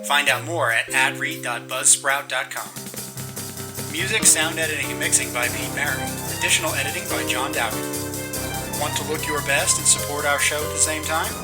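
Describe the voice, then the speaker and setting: A man's voice: fairly high pitch, moderate pace, over loud music. A man announcing scripted show credits in a narrator's delivery.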